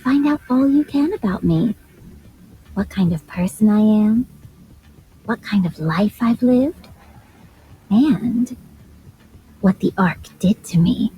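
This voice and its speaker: A woman voicing a poised, aloof and coolly sardonic commander in a video game, measured and dry. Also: medium pitch, animated, highs cut off, slight background noise. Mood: cool, bitter.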